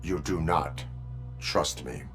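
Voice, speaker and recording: An actor playing a stoic, polite cyborg police officer with measured, formal, robotic delivery in a video game. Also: male voice, rumbling low, a bit bright, faint music behind, full character voice. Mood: flat, calm.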